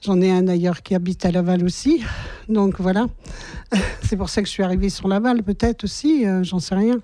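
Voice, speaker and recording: A woman answers interview questions about her new community in a hesitant, modest way. Her voice is mellow and recorded dry and close. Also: medium pitch, steady tone, normal speed, no room sound. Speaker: volunteer.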